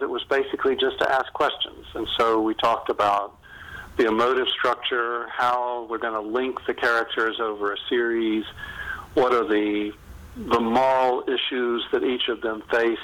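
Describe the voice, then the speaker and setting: A man's voice: mid-range voice, fairly even intonation, normal speed, fairly bright tone, low-quality recording. A man speaking as an author guest in a conversational podcast interview, telling stories candidly.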